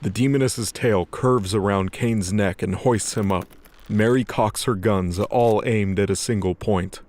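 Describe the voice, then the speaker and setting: A man's voice: fairly low pitch, unhurried, darkish tone. A man reading scripted, dramatic narration as the narrator of a fantasy audio drama.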